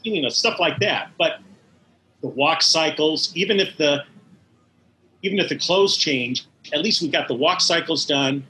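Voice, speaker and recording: Male animator as interview guest: bright, crisp-edged tone, explanatory and detailed, slight noise behind. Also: a little high, expressive, unhurried, poor audio. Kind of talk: in-depth interview recollection.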